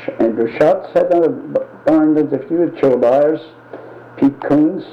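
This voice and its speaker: Gravelly older man on an old tape. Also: fairly high pitch, roomy sound, phone-quality sound. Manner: short, plain answers with quick yeahs. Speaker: interviewee. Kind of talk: oral history interview.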